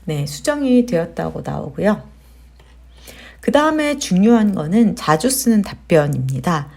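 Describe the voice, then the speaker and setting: A woman hosting a casual step-by-step software tutorial, explaining conversationally and putting questions to her viewers. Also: fairly low pitch, big pitch swings, measured pace, gravelly. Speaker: tutorial host, digital marketer.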